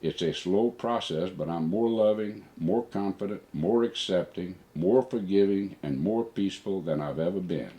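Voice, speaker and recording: Older male, father figure with a very deep voice, for personal letter readings and reflections. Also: normal speed, hardly any pauses, dark tone, dull audio.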